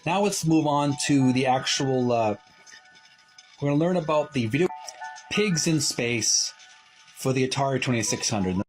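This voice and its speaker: A man hosting a retro gaming channel, casually narrating a show-and-tell and often pausing mid-phrase. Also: mid-range voice, soft background music, rough recording.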